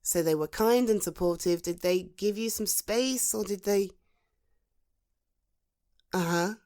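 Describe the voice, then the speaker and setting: A British woman playing a protective, supportive female friend in a conversational ASMR roleplay, soothing and encouraging, with thoughtful pauses. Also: medium pitch, darkish tone, lightly acted. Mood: concerned, reassuring. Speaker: voice actor in an audio roleplay. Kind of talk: ASMR roleplay, conversational one-sided dialogue.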